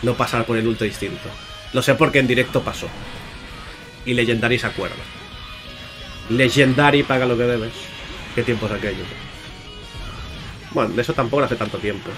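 A man commentates a video game in a casual, chatty way, addressing his viewers as he plays. His voice sits at an average pitch, with playful exclamations thrown in. Music plays clearly behind him. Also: normal speed, long pauses. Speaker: gaming YouTuber. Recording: music under the voice.

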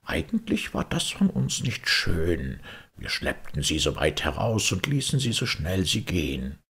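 A man plays a smooth-talking storybook walrus full of false sympathy. The voice is husky and swoops widely, coaxing gently and unctuously. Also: low-pitched, wildly swooping pitch, a little slow, exaggerated voice. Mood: mock pity.

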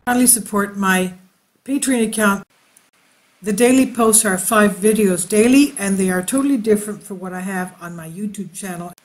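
A woman reads a news article aloud in a slow, measured voice-over, pausing to add asides for her viewers. Her pitch sits in an ordinary middle range. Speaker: channel host reading news.

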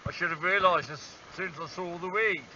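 A man's voice: sits high, brisk, pushed and bright. A man talking to himself while fishing on a stony harbour shore, wry and self-deprecating, filmed on a small handheld camera. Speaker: angler vlogger. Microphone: small handheld action camera. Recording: dull lo-fi camera audio with faint wind.

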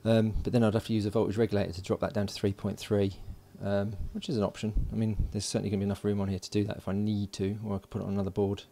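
Low, creaky man thinking aloud. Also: level tone, dark tone, slight background noise. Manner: informal, halting, thinking aloud while working. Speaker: electronics hobbyist presenting a build. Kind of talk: casual hands-on tutorial commentary. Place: indoors at a workbench.

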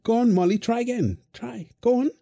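A man's voice: very high-pitched, a little fast, gravelly, warm tone. A man playing a young father feeding his baby daughter, playful and affectionate, laughing often. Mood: doting. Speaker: voice actor in audio roleplay. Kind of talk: wholesome audio roleplay.

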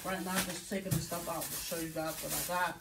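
A woman's voice: sits low, steady tone, fast, gravelly. A middle-aged woman chatting casually to her viewers in a home kitchen, with lots of fillers and "okay, guys" asides. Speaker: vlogger. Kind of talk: casual grocery haul vlog. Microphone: phone camera on counter, a few feet off. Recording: distant phone mic with background noise.

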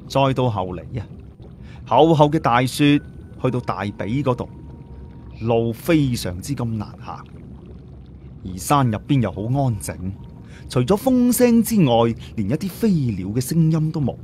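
Cantonese guy telling an audiobook tale, chatty style, medium pitch, bit of noise behind him. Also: sing-song, measured pace, darkish tone. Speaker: storyteller. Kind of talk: colloquial audiobook narration.

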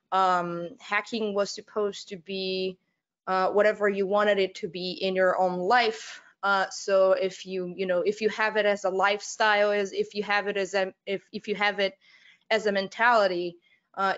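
A woman's voice: medium pitch, clear, resonant tone, dull audio. A young woman from Brazil with a Brazilian Portuguese accent gives a casual conference talk over a video call, with lots of fillers, restarts and laughs. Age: young adult. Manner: halting, many fillers and restarts, laughs often. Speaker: conference speaker. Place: home room, on video call.

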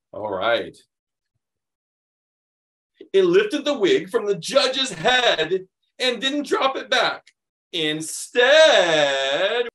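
A middle-aged American man reads a picture book aloud to young children, animated and expressive, adding chatty explanations. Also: on the high side. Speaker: children's read-aloud host.

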